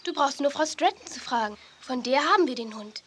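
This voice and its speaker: A woman's voice: high voice, expressive, a little fast, a bit bright. A woman playing a caring, sensible young dog owner in a children's audio play, speaking earnestly. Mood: firm. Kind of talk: 1980s German children's audio play acting. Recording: faint noise, old cassette audio play.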